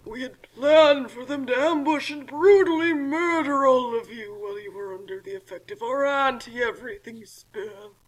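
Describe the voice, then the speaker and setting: A woman's voice: high voice, moderate pace, hardly any pauses, poor audio. A woman voicing a villainous talking book that explains its schemes formally in a comic fan fiction reading. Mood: smug, explaining.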